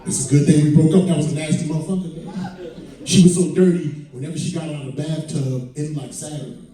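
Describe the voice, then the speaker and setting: A man's voice: fairly high pitch, fairly even intonation, quick pace, dark tone. A man in his mid-forties performing stand-up comedy to an audience, with profane, conversational storytelling. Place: indoor comedy venue. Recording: very echoey live room, distant mic.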